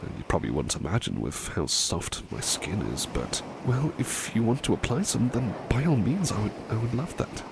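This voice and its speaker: Man playing a deep, gentle, devoted butler. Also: big pitch swings, normal speed, vocal fry, few pauses, noisy background. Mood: polite, bashful. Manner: gentle, soothing, courteous, murmured repetitions, often dropping to whispers. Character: devoted, tender, polite male butler servant. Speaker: ASMR roleplay performer. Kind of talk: soft-spoken ASMR personal attention roleplay.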